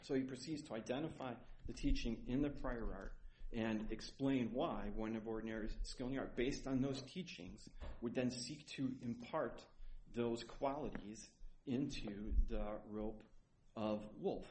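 A man's voice: medium pitch, unhurried, a little mellow. A male attorney making a methodical oral argument before a judge, often restarting and stumbling over fillers. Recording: roomy echo with faint noise.

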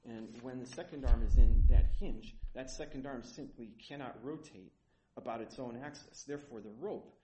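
A man's voice: mid-range voice, normal speed. A male attorney making a methodical oral argument before a judge, often restarting and stumbling over fillers. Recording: roomy echo with faint noise.

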